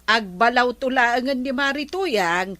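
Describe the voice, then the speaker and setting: A woman's voice: a little high, animated, measured pace, noisy background. A woman acting an eager village gossip in an Ilocano comedy drama, with an Ilocano accent. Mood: gossipy. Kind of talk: Ilocano radio-style comedy drama dialogue.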